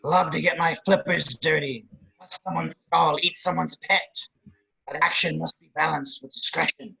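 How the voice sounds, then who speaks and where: A man acting a crude, power-hungry grotesque villain with a raspy, creaky voice in an amateur script read. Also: a little high, fairly even intonation, normal speed, pushed and bright, highs cut off, exaggerated voice. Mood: gloating.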